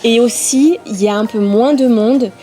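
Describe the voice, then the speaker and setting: A fairly high-voiced woman talks casually in a travel vlog, self-mocking and filling pauses with hesitant sounds. She is recorded outdoors on a camera mic, with faint music underneath. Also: warm tone. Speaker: vlogger. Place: outdoors in hot weather.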